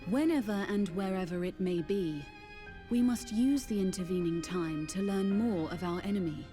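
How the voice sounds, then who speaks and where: A woman's voice: mid-range voice, moderate pace, warm tone, natural voice. A woman playing a solemn, poised leader in a fantasy game, with formal, old-fashioned wording. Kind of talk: fantasy video game voice acting. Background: orchestral game music.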